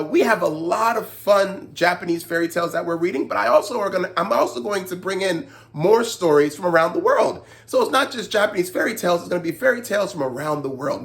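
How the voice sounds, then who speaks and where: This is a storytime host speaking to young children. The delivery is highly animated, with big pitch movement. It moves between expressive storybook narration and instructive chat, often seeking agreement from the listeners.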